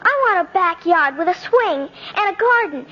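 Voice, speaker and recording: A woman's voice: very high voice, animated, moderate pace, bright, forceful tone, full character voice. A female performer playing a frank, wistful little girl in a 1950s radio drama. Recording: muffled old radio broadcast.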